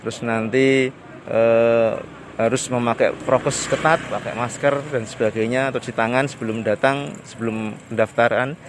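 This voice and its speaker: Village head, male, mid-pitch, formal and halting, faint street noise. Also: steady tone. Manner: formal, frequent hesitation fillers. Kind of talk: interview answers. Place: outdoors at a village hall.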